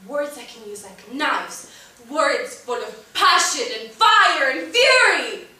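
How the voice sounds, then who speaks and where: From far off in a very echoey room comes a passionate stage actress, played by a young woman. Her voice is high and theatrical, halting and then surging as she yearns for what she has lost. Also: animated, bright, forceful tone, full character voice. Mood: impassioned yearning. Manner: dramatic, halting delivery with bursts. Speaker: drama student performing an exam monologue. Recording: very echoey room, distant mic, faint noise.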